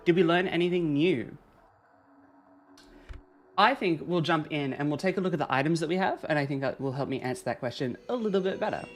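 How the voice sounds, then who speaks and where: A game streamer talking casually through a live stream, chatting with viewers and reading chat aloud in a soft, soothing way. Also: mid-range voice. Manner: chatty, reads and answers chat.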